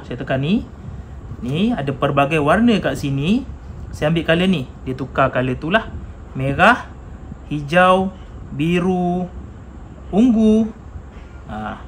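A man with a Malaysian accent giving a casual, hands-on product demonstration, mixing in English tech terms. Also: a little high. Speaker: motorcycle gear reviewer. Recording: clearly noisy room recording.

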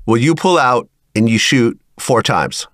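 Guy interviewer, medium pitch, dry recording, measured recaps and straight questions. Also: expressive, a bit bright, dry recording.

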